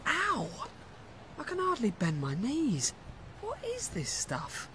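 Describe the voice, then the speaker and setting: Flustered, expressive boy apprentice. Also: very high voice, slow, background noise, heavily acted. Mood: plaintive. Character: anxious, eager boy apprentice wizard. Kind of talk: radio drama acting.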